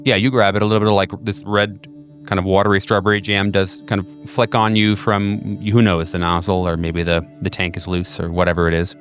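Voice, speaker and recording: Male game master, low voice, rambling delivery, faint music bed. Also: level tone, brisk, fairly bright tone. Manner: rambling, lots of fillers and restarts. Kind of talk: narrating a tabletop game.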